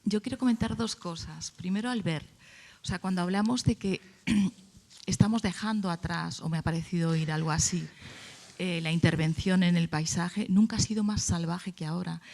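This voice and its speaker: Woman, Spain Spanish accent, creaky voice, panel speaker who argues a point firmly and answers her own questions. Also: on the low side, normal speed, darkish tone. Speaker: panelist at a congress. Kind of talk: opinionated panel discussion talk.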